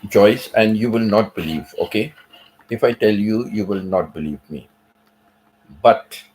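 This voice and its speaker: A man's voice: low voice, poor audio. A middle-aged man hosting a livestream, chatting casually with viewers and repeating his points as he tells a story. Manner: repetitive, conversational storytelling. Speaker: livestream host. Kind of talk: casual livestream chat reading viewer comments.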